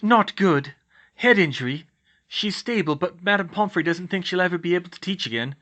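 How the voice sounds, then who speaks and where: A man's voice: high voice, animated, moderate pace, fairly bright tone, pitched above the performer's own voice. A man voicing an earnest, anxious but determined young witch in a storybook, speaking with urgency. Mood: worried.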